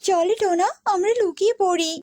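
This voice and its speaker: A woman's voice: very high voice, fairly even intonation, a little fast, mellow, dry recording, clean studio sound. A woman voicing a small, brave female tailorbird in a cartoon, earnest, protective and determined. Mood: wary. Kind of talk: cartoon voice acting.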